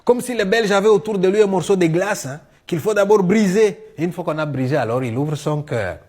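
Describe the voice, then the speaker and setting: A middle-aged man with a Congolese French accent tells comic stories in a one-man show, acting out dialogue in an animated way. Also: sits high, brisk, husky. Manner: animated storyteller, acting out dialogue, punctuating with "hein". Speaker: stage storyteller and comedian.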